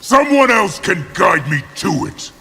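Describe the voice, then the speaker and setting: High, creaky, defiant warrior in reverb. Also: male voice, very animated, measured pace, full character voice. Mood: hostile. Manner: hostile, blunt. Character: defiant, angry male warrior in a fighting game. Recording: long reverb, loud background noise.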